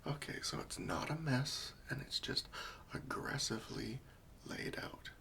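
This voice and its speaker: Man acting a gentle whispering cleanup worker, creaky voice. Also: medium pitch, lively intonation, a little slow, exaggerated voice. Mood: wry, skeptical. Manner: soft, hesitant, soothing, politely tactful. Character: gentle, patient, faintly wry professional hoarding cleanup worker, male. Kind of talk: whispered ASMR roleplay.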